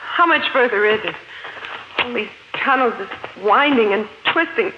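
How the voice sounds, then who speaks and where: A woman's voice: fairly high pitch, animated, normal speed, close to an everyday voice. A woman playing an anxious, trusting, hesitant wife in 1950s American radio drama style. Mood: frightened. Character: anxious, trusting young wife, easily frightened. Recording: dull, noisy 1950s radio recording.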